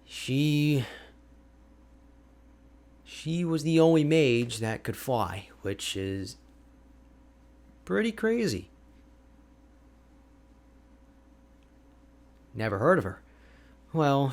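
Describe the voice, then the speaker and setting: A man playing an energetic, friendly young ice mage mentor in an ASMR roleplay, talking to the listener in an animated, informal, chatty way. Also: medium pitch, takes it slowly, pauses often. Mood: wistful, musing. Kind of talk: ASMR roleplay audio drama, addressing the listener.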